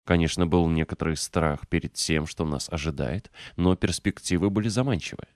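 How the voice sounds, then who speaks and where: A man with a low, deep voice reads an audiobook from a script. His delivery is measured and clearly articulated. The sound is dry, with very little room in it. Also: darkish tone, no echo. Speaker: audiobook narrator.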